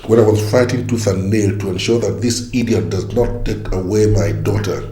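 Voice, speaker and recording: A man's voice: on the low side, moderate pace, mellow, reverberant, lightly acted. An actor playing a stern, devout middle-aged father who lectures his family.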